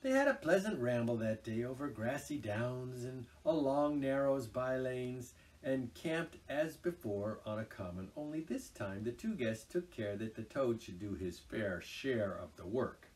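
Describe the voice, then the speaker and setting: Expressive male storyteller, lively pitch. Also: a little slow, hardly any pauses, distant mic, poor audio. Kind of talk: reading a children's classic aloud. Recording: voice a little off-mic.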